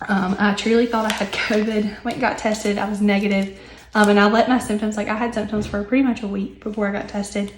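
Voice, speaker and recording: A young woman with a hoarse, recovering voice, chatting casually with many ums and asides as she works through her budget. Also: mid-range voice, flat delivery, a little fast, echoey room, slight background noise. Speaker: budgeting vlogger. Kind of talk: casual chat while working on paper.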